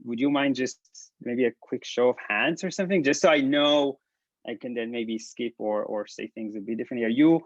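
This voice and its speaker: A man from Israel with an Israeli accent, giving a hesitant, halting talk full of restarts on a video call. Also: medium pitch, no room sound. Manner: halting, many restarts and ums. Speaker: presenter. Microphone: laptop mic on video call. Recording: dull lo-fi video call audio.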